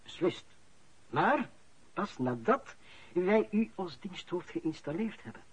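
An actor playing a shrewd, formal senior civil servant in a radio play, speaking in a measured, officious way. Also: male voice, sits high, animated, slightly rough, full character voice. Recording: dull, muffled radio play recording.

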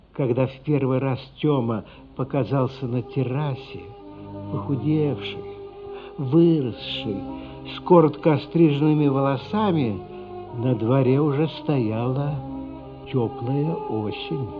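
A man narrating a literary radio play in an expressive, dramatic, deliberately phrased way. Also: mid-range voice. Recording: dull lo-fi radio play, music underneath. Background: music.

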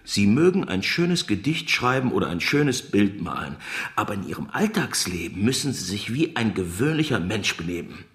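Expansive older gentleman, wildly lively intonation. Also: on the low side, normal speed. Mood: keen, probing. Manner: formal, expansive, emphatic. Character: older cultured father, scholarly businessman, genial and eagerly provocative. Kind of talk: radio drama acting.

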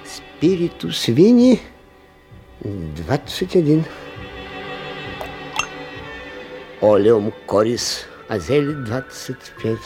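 An actor playing an eccentric old schoolteacher-inventor in a radio play, agitated and dreamy, muttering haltingly and repeating himself between exclamations. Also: male voice, lowish voice, dark tone, heavily acted. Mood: nervous muttering. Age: older. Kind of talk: theatrical radio play acting.

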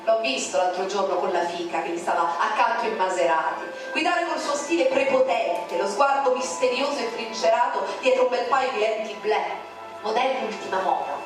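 Woman, high voice, declamatory poetry reader, far off in a booming room. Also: moderate pace. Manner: declamatory, with sharp swings in pitch. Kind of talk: dramatic poetry recitation. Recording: very echoey, distant, with music. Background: music.

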